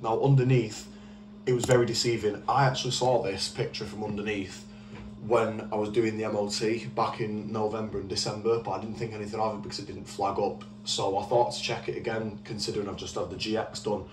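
A man's voice: on the low side, flat delivery, a little fast. A man with a British accent giving casual, informal and explanatory commentary as a car restoration vlogger. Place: in a car, out on a street, and at a computer. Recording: noisy handheld recording, vehicle ambience.

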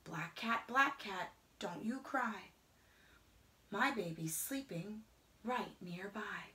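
A middle-aged woman reads a picture book aloud to children in a rhythmic, singsong way, often dropping into hushed whispers. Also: medium pitch, slow. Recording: roomy indoor echo.

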